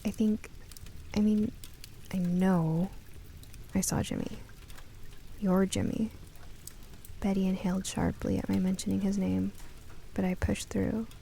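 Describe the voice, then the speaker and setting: Woman acting as curious earnest young woman narrating spooky radio drama, soft mellow tone, really creaky voice. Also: mid-range voice, level tone, a little slow, long pauses, a little hiss or hum. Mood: measured, somber. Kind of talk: first-person scripted radio drama narration.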